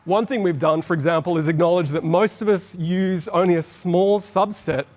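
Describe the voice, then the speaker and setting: A man's voice: sits high, steady tone, a little mellow. A middle-aged Australian man giving an enthusiastic, explanatory conference talk on stage. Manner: explanatory, enthusiastic, quickens on asides. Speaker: conference presenter. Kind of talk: keynote presentation. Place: large conference hall on stage. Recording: long hall reverb.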